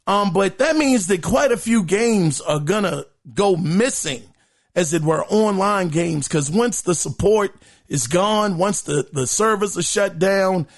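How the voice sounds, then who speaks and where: Male podcast host with a high, hoarse voice, chatty news rundown. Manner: chatty, addresses listeners as guys, many fillers. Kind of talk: casual news commentary.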